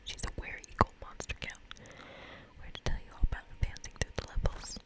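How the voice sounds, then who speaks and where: A woman whispering softly as an ASMR host, reading the narration of a video game aloud. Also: fairly quick. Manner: soft, breathy whispering.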